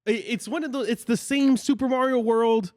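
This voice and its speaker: A man's voice: extremely high pitch, steady tone, normal speed, a little mellow, no room sound, clean studio sound. A young man chatting casually and earnestly as a podcast co-host, recalling his own wedding. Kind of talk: casual chat.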